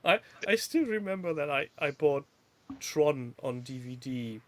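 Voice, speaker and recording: A man's voice: a little high, animated, unhurried. Chatty man, laughing often. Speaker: co-host. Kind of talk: casual chat.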